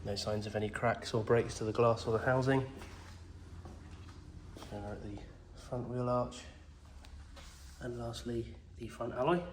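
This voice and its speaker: A man's voice: mid-range voice, steady tone, unhurried, long pauses. A man who has a cold, walking round a pick-up and describing its condition in a plain, methodical, reassuring way. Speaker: car salesman. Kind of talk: walk-around vehicle video. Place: outdoors around a vehicle. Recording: echoey, with faint noise.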